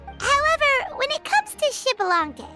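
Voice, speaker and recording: Squeaky little cartoon creature. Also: sing-song, moderate pace, music in the background. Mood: eager, reporting. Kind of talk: video game character acting.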